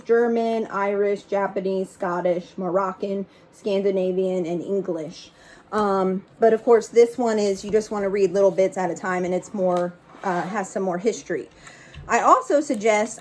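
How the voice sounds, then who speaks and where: A woman's voice: medium pitch, darkish tone. A middle-aged woman reading a picture book aloud and chatting casually to young listeners. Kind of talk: read-aloud storytelling with casual asides.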